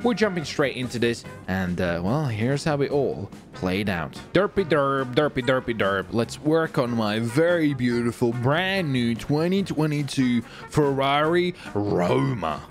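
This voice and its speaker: A man giving excitable, exclamatory gaming commentary as the host of a roleplay video. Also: medium pitch, unhurried, hardly any pauses, over music. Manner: animated, exclamatory, swearing.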